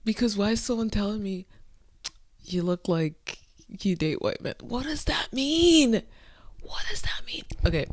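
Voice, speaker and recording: A woman talking to her camera late at night, incredulous and exasperated, swinging between soft muttering and sudden loud outbursts. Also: low voice, no echo. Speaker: vlogger. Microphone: phone or camera close up.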